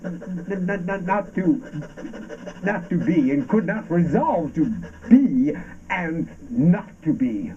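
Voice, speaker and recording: An actor playing a fervent, accusing orator in a surreal avant-garde radio play, declaiming haltingly with drawn-out words. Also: male voice, high-pitched, expressive, a little hiss or hum. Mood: accusing, impassioned. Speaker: stage actor. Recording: dull lo-fi stage performance recording.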